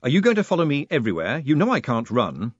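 A man voicing a sharp, sarcastic young con man who talks quickly and impatiently. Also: mid-range voice, wildly swooping pitch, slightly rough, dry recording, voice pushed up. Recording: dull lo-fi recording.

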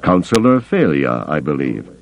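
A man's voice: extremely low pitch, very animated, normal speed, creaky, highs cut off, exaggerated voice. A man voicing a hard-nosed male smuggler captain in an audiobook, firm and sardonic.

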